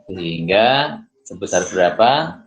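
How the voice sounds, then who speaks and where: A man with a deep, low voice works through a lesson like a lecturer. He thinks aloud informally, going step by step, and keeps putting questions to himself that he then answers. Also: expressive, measured pace. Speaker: accounting lecturer. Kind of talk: informal worked-solution tutorial, thinking aloud.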